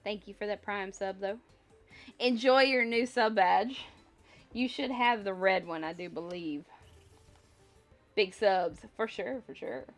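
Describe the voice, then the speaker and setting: A woman's voice: mid-range voice, measured pace, pauses often. Congested woman chatting casually on a livestream. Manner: rambling, conversational, thinking aloud. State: slightly congested with a lingering cough. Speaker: livestream host. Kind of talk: casual livestream chat, answering viewers. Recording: music behind the voice. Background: music.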